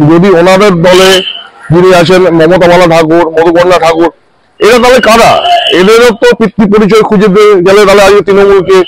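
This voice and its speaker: Man, high-pitched voice, party spokesperson, press statement. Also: background noise.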